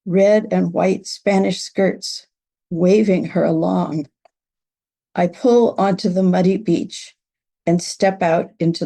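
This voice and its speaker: An older woman, over sixty, gives a measured, deliberate reading of literary memoir prose. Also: on the low side, creaky, dark tone. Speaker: writer reading own work.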